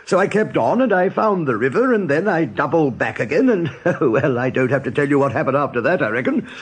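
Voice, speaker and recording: An actor playing a burly, nervous male rabbit in a radio play, hesitant and stammering, with a husky, gravelly voice. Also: a little high, big pitch swings, brisk, slight background noise. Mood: nervous bluffing. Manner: hesitant, stammering, rustic turns of phrase. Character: burly male rabbit posing as dull-witted recruit, nervous. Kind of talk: radio drama acting.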